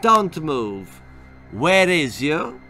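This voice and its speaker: A man's voice: on the high side, big pitch swings, drawn-out words, pushed and bright, soft background music, exaggerated voice. A man voicing a shady, genial Italian mafioso pizza man with a sing-song Italian accent, in a children's story. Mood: sly, scheming.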